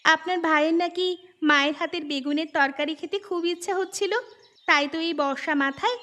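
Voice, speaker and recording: A woman's voice: high voice, fairly quick, sharp tone. A woman playing an outspoken daughter-in-law in a cartoon family story, with pointed, emphatic delivery over music. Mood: pointed, needling. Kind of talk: cartoon family drama acting. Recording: music behind the voice.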